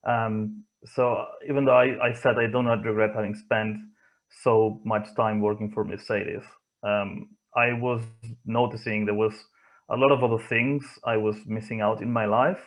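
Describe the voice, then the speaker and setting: Male interviewee, Spanish-accented English, low register, thoughtful and halting, reflecting on his career. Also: fairly even intonation. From Barcelona area, Spain. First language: not English, from Spain. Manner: hesitant, thoughtful, pausing often. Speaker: interview guest, motorsport engineer.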